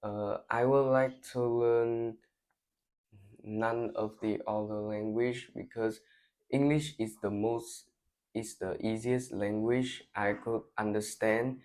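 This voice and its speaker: A thirteen-year-old boy with a Vietnamese accent answering speaking test questions in halting English with hesitations. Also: lowish voice, steady tone, a little mellow, low-quality recording. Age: teens. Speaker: student candidate.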